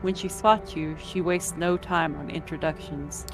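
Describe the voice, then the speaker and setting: A woman's voice: sits low, fairly even intonation, unhurried, creaky, over music, rough recording. A woman narrating a visual novel as a scripted story.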